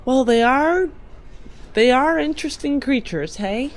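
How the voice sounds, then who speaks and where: A woman's voice: sits high, lively intonation, a little slow. A woman playing a curious, probing space traveller in a tabletop roleplay, speaking casually. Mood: inquisitive. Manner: inquisitive, casual. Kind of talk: improvised in-character tabletop roleplay. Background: background music.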